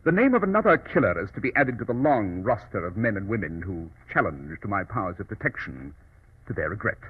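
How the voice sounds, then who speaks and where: A self-assured male detective with a slightly rough voice. His delivery is formal and theatrical, swinging widely in pitch. It comes through a narrow, scratchy 1940s radio recording. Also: sing-song, a little fast. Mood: sardonic, triumphant. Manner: crisply formal, sardonic, theatrical.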